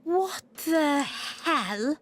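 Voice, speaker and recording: A woman voicing a sassy, sharp-tongued furry-eared fighter in a video game, sardonic and blunt. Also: high-pitched, expressive, extremely slow, heavily acted. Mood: exasperated. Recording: loud music under dialogue.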